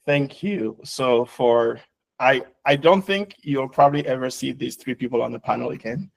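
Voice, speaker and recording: A founder addresses his audience in an earnest, halting way, leaning on fillers as he goes. His voice sits at an ordinary middle pitch for a man. He sounds like he is speaking to a live event crowd and an online audience. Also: creaky, rough recording. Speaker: founder and CEO, panel moderator. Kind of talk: launch speech and moderating.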